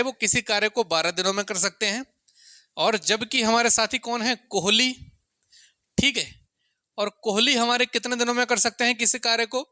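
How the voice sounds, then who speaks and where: A man's voice: sits high, pushed and bright, dry recording, clean studio sound. A man teaching maths informally to students, asking questions and answering them himself, repeating key points. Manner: addresses listeners often as sir and friends. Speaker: maths teacher for exam coaching. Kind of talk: informal classroom-style lesson, talking to students.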